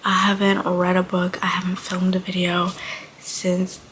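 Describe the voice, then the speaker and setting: A woman's voice: fairly low pitch, completely flat delivery, measured pace, a bit bright, slight background noise. A nineteen-year-old woman from Buffalo, New York, chatting casually as a book vlogger while recovering from illness, her voice slightly off and often dropping to a murmur. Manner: chatty and rambling, frequent drop to a murmur. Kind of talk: casual chat to camera.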